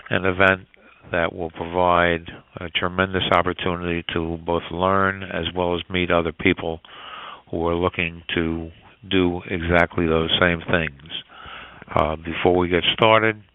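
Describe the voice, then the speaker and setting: A man hosting a podcast, introducing it in a halting, wordy and formal manner over a phone line. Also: low voice, flat delivery, no echo. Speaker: podcast host. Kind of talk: spoken introduction to a business podcast. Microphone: telephone call. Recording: tinny phone line, dry.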